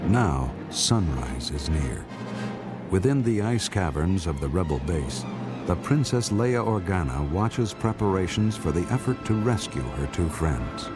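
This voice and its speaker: A man's voice: low voice, very animated, a little slow. A man narrating a space saga radio drama in a solemn, dramatic storyteller's manner. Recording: music under the voice.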